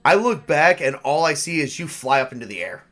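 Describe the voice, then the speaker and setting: A man's voice: fairly high pitch, very animated, fairly quick, a bit bright. Man casually running a tabletop horror game. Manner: informal, improvising with mild swearing. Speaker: game master and player.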